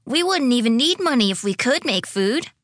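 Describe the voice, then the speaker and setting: A woman's voice: a little high, big pitch swings, unhurried, a bit bright, no room sound, clean studio sound, pitched above the performer's own voice, heavily acted. A woman reading a storybook, voicing an eager, wide-eyed seven-year-old boy. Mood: giddy excitement. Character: excitable, grinning seven-year-old boy, little brother.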